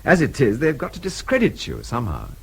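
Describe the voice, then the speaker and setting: A capable British man in a radio play, talking quickly with lively swings of pitch. He is sarcastic, clipped and a little superior. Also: gravelly, husky, full character voice. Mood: sardonic, brisk. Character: brisk, sardonic, capable British man, exasperated rescuer. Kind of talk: radio drama acting.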